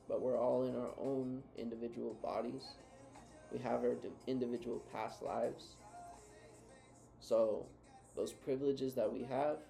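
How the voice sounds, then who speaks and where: Earnest male co-host with a gravelly, warm voice; reflective, advising. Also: medium pitch. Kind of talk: reflective casual talk.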